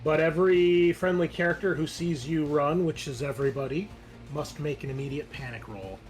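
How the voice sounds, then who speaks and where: A man's voice: on the high side, normal speed, faint music behind, rough recording. A man running a tabletop horror roleplaying game as game master, talking conversationally and working through rules with fillers.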